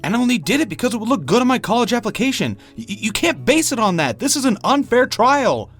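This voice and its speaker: A man playing a teasing, warm-hearted young friend in an audio roleplay, conversational and given to playful grumbling. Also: very high-pitched, sing-song, quick pace, husky, faint music behind, full character voice. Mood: indignant. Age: young adult. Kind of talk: audio roleplay acting, addressing the listener.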